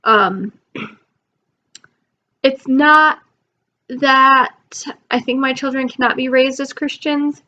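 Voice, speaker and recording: Young woman, homeschool vlogger, higher voice, recovering from a cold, chatty and filler-heavy; laptop mic, muffled lo-fi audio. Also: extremely slow, pauses often, pushed and bright. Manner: chatty, lots of ums, rambling. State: recovering from illness, losing her voice. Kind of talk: casual talk to camera.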